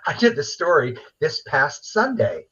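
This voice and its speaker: A man's voice: on the high side, wildly swooping pitch, slow, fairly bright tone, husky. A middle-aged man chatting casually and enthusiastically as an interview guest, rambling a little over a video call. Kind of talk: casual chat. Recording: dull, lo-fi video call audio.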